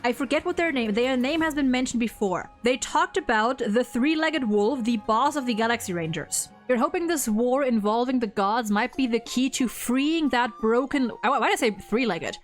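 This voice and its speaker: A woman with a fairly high voice chats casually about gaming. She is animated and gushing, often slipping into quotes as she explains. Soft music plays behind her. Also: normal speed, hardly any pauses. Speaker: co-host ranking game story quests.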